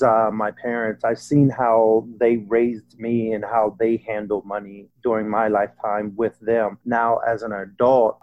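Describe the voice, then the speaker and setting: A man speaking as a podcast panel guest, talking in a halting way with frequent fillers. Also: lowish voice, a little mellow, lo-fi sound. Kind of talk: casual panel talk.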